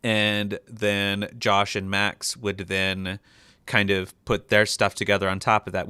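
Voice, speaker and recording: A man's voice: fairly low pitch, pushed and bright, smooth, dry recording. A man chatting casually and enthusiastically as a sound editor explaining a production process. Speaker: sound editor on an audio drama.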